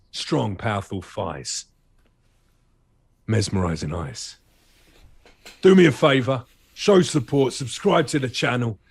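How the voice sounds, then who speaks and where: A man playing a gruff special forces soldier briefing his squad in clipped military style, with a husky, gravelly voice. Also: medium pitch, no echo, heavily acted.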